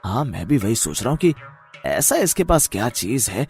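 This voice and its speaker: A man's voice: mid-range voice, sing-song, normal speed, creaky, a little mellow, faint music behind. Man doing muttering, envious village schemers. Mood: envious, suspicious. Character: envious, scheming rival sweet-shop owner and his sneaky servant. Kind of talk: Hindi animated moral story voice acting.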